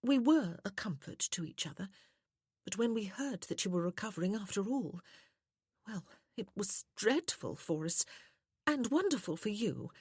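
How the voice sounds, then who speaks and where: A woman voicing a soft, sweet, tearfully reproachful young widow in a period romance audiobook. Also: mid-range voice, expressive, normal speed, breathy edge, no echo, clean studio sound, voice pushed up. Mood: tearful regret.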